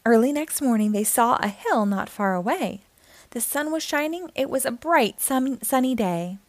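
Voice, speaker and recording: A woman hosting storytime, reading a children's picture book aloud in an expressive, deliberate storytelling manner. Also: a little high, no echo. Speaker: storytime host and reader.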